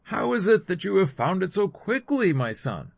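A man's voice: high voice, big pitch swings, moderate pace, creaky, no room sound, voice pushed up, exaggerated voice. A man voicing an aged, solemn father who declaims to his sons as in a scripture story. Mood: searching, tender.

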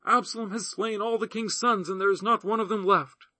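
A man's voice: high voice, steady tone, brisk, pushed and bright, dry recording, voice pushed up, exaggerated voice. A man reading the part of a messenger who urgently brings terrible news. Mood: alarmed. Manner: alarmed, urgent.

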